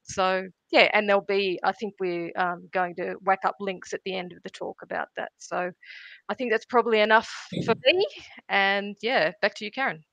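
A woman's voice: mid-range voice, fairly even intonation, normal speed, vocal fry, a bit bright, dry recording, highs cut off. A woman on a video call describing her digital work loosely, with many fillers and restarts. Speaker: panel guest, digital artist.

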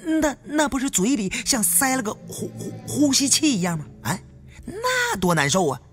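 A man voicing a flustered, curious male tomb raider with a halting stammer in an audiobook. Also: very high-pitched, very animated, fairly bright tone, soft background music, pitched above the performer's own voice, exaggerated voice. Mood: startled.

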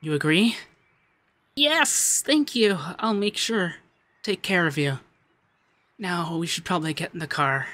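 A man playing a shy, sweet young femboy heir in an ASMR roleplay, hesitant and gently flustered, stammering as he talks. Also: high-pitched, lively intonation, unhurried, pauses often, no echo, exaggerated voice. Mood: nervous, polite. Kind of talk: ASMR roleplay audio drama.

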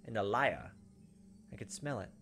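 A low-voiced man reads visual novel narration aloud in a dramatic, halting way. He sits close to a desk microphone, with faint game music behind him, and adds commentary as a host. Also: sing-song, vocal fry, fairly bright tone. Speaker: gaming video host.

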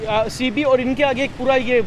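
A man's voice: very high voice, fairly quick, poor audio. A man vlogging casually on a street outside a motorbike shop, switching between Hindi and English as he talks about a bike. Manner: mixes English into Hindi, animated. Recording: loud street traffic behind.

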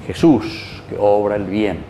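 Mellow Paraguayan priest in a roomy space. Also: male voice, fairly low pitch, takes it slowly. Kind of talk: Gospel reading and homily. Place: small wood-panelled chapel, indoors. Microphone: lapel mic. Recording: roomy echo, faint background noise.

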